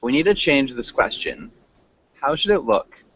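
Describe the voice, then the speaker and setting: A man's voice: on the high side, expressive, normal speed, fairly bright tone, breathy edge. A man teaching an interactive online vocabulary class, encouraging students and prompting them with questions. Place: online group video class. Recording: dull, lo-fi online call audio.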